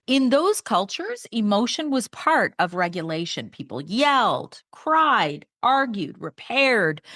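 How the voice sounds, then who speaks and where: Woman in her 50s, solo podcast host, slightly low voice, earnest and chatty, pitch leaps for emphasis. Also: a bit bright. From Canada.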